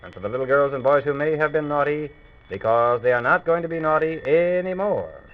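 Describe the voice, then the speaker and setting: Guy playing gentle storyteller for kids, highish voice, very tinny vintage radio sound. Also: brisk, lightly acted. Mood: gentle, agreeable. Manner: polite, wholesome, explanatory. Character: Storyman, gentle agreeable male storyteller companion for children. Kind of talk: old-time children's radio serial acting.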